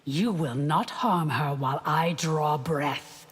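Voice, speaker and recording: An actress playing a fiercely loyal, defiant warrior guard in a video game, with a husky voice. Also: female voice, low voice, slow, full character voice. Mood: resolute. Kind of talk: video game fight-intro dialogue. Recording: long hall-like reverb.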